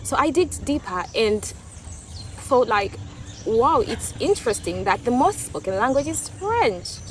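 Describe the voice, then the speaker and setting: A woman's voice: a little high, very animated, unhurried. Tanzanian woman vlogging in english, swahili first language, casual and reflective, some faint rural noise behind her. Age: young adult. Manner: thoughtful, restarts and repeats words. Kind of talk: casual opinion talk.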